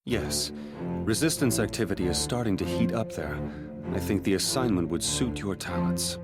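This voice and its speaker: An actor playing a shrewd, courteous elder-brother prince and statesman in an English anime-dub audio drama, measured and diplomatic. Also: deep voice, over loud music, natural voice. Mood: businesslike.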